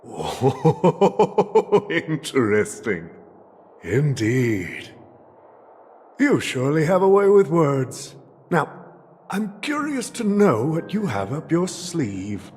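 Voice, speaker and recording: A man's voice: a little high, big pitch swings, takes it slowly, a little mellow, slight background noise. A male actor playing a sly, theatrical old merchant who heads a powerful family in a video game, savoring his words with amused calculation. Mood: amused, intrigued. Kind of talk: dramatic video game dialogue. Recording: long hall reverb over faint music.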